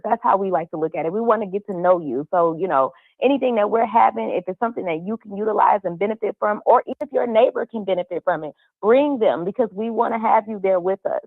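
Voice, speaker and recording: A hoarse woman, a police community liaison, speaking earnestly and upbeat with many fillers over the phone. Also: mid-range voice, dry recording. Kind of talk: phone-in interview. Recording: tinny phone line.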